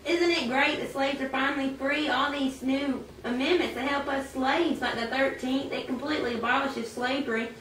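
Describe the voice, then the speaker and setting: A woman's voice: high-pitched, steady tone, normal speed, few pauses, fairly bright tone, pitched below the performer's own voice. A woman voicing a hopeful freed woman in a puppet show, explaining and chatting conversationally. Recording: roomy, distant, noisy amateur recording.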